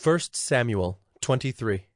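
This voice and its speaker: A man narrating scripture from a script in a measured, formal storytelling manner. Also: mid-range voice, animated, no echo, clean studio sound.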